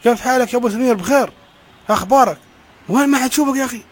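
A man's voice: extremely high pitch, racing. A man playing a pious neighbor who coaxes and lectures a friend in a comedy sketch. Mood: coaxing. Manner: coaxing, preachy.

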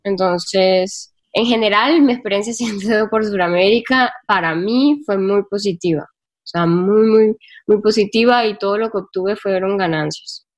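A woman's voice: medium pitch, unhurried. Candid woman with a Colombian Caribbean accent. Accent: Colombian Caribbean Spanish. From Caribbean coast of Colombia. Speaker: interview guest, solo traveler and travel writer. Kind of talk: casual interview storytelling.

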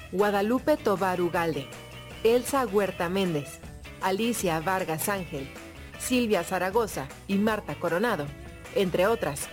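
A woman reading scripted historical narration as a documentary narrator. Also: medium pitch. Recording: music bed behind voice.

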